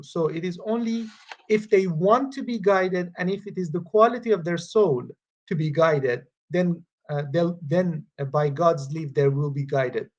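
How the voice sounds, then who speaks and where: Halting man. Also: high voice. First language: Farsi. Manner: halting, with frequent pauses and restarts. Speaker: Quran study presenter. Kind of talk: reading verses and commenting in a study group. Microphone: video call.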